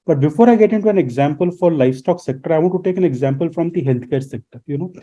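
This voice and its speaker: A man talks through his subject as a radio guest. His voice sits high and sounds deeply mellow. He teaches as he goes and keeps posing rhetorical questions. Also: fast talker. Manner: lecturing, poses rhetorical questions. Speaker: guest expert. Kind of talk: explanatory radio interview answers.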